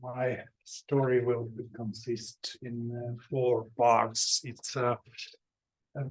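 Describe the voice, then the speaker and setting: A man giving an academic seminar talk, halting with many fillers and restarts. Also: medium pitch, poor audio. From Ukraine. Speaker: seminar speaker.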